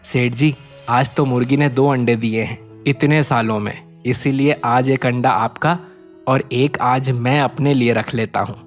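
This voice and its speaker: A man's voice: mid-range voice, steady tone, a little slow, soft background music, lightly acted. A man voicing a poor, humble, obliging village egg seller in a cartoon, with a deferential, explaining manner. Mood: wondering.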